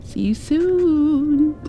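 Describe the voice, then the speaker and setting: Slow whispering woman, soft and mellow. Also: high-pitched. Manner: drifts between whispering and soft speech; affectionate, playfully scolding. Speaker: ASMR roleplay creator. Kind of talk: ASMR roleplay.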